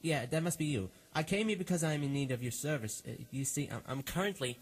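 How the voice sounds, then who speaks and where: Man acting a nervous client, animated and high-voiced. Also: fast talker, natural voice. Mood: anxious, pleading. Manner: stammering, hesitant. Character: nervous, hesitant, threatened male client pleading for help. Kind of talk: radio drama acting.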